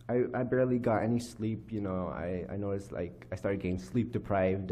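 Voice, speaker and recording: A teenage boy speaks into a lectern microphone in a church hall, telling his testimony in a halting way, full of "uh" and "like." His voice is on the low side and mellow, never sharp. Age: teens. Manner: halting, many fillers and restarts. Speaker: youth giving a church testimony. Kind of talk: personal testimony speech. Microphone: microphone on a lectern stand.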